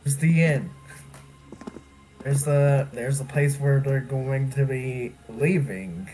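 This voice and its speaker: Unhurried man grumbling over a video game. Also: mid-range voice, warm tone, poor audio. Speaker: streamer. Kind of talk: casual game commentary. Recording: game music playing under the voice. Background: video game music.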